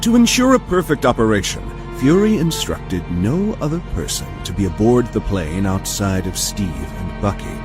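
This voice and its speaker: A man delivers dramatic scripted narration for an audio drama. His mid-range voice moves with lively intonation. Music plays clearly behind him. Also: on the low side, moderate pace, few pauses.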